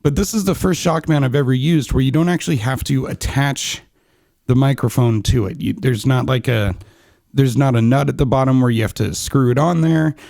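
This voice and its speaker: A man in his thirties talks casually and at an easy middle pitch. He is close on a studio condenser in a small quiet room, and the sound is dry and intimate. His speech is loose and chatty, full of ums and false starts. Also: moderate pace, gravelly, no echo. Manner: chatty, relaxed, many ums and restarts. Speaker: podcast gear reviewer. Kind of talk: casual microphone review. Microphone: studio condenser on boom arm, close, no pop shield.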